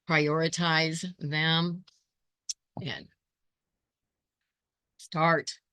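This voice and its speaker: A hoarse woman hosting her quilting channel, thinking aloud in casual chat as she sorts scrap fabric and greets viewers by name. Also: low-pitched, a bit bright, no echo.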